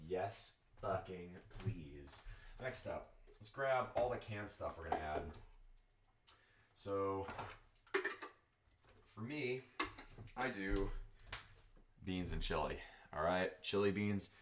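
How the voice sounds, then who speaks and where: A man's voice: on the low side, very noisy. A man from Texas with a Southern accent, chatting casually and rambling as he cooks in his home kitchen. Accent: Southern American. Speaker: streamer cooking chili. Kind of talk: casual live-stream chat while cooking.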